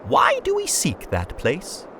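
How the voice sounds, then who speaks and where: Man acting a very slow, brooding wandering bug warrior. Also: high voice, wildly swooping pitch, pushed and bright, slight background noise, heavily acted. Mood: solemn, musing. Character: solemn wandering bug warrior crossing a wasteland. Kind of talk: dramatic monologue, video game lore dub.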